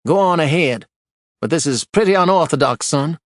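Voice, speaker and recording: A man's voice: fairly high pitch, expressive, moderate pace, no room sound, clean studio sound, voice pushed up, close to an everyday voice. A man voicing a stern, solemn older judge with a folksy drawl.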